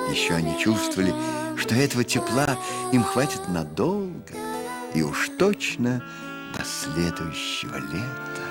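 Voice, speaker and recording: A man narrating a children's cartoon like a scripted storybook. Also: fairly low pitch. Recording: loud music behind the voice.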